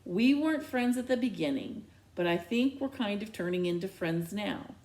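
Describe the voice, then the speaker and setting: Teen daughter for a storybook, read by a woman: defensive, stubborn, earnest, with very animated intonation. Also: mid-range voice, normal speed, close to an everyday voice, low-quality recording. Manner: earnest, protesting.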